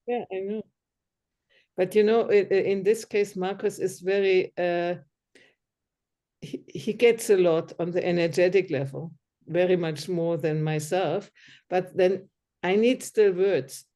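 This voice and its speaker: A woman's voice: fairly low pitch, unhurried, dark tone. A woman whose first language is German, chatting casually in a discussion group, hesitant and often searching for words. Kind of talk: casual conversation.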